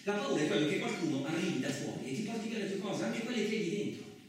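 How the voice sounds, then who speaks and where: An actor playing a coarse, suspicious, lonely truck driver in a radio theatre monologue, rambling colloquially with frequent questioning interjections. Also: male voice, high voice, expressive, fast. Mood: uneasy, hushed. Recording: very echoey, distant, noisy room recording.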